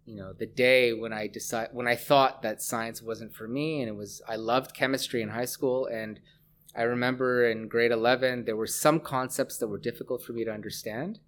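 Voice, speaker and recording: A middle-aged Canadian man speaking as a scientist guest in a conversational interview, pausing often as he thinks. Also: mid-range voice, steady tone, a bit bright, slight background noise. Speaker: interview guest, research scientist and lab head.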